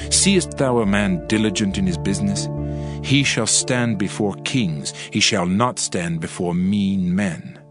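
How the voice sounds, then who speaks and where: A man's voice: lowish voice, a little slow. A man narrating a dramatized audio Bible in a solemn, formal, instructive way. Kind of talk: dramatized scripture reading. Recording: loud background music under the voice. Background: background music.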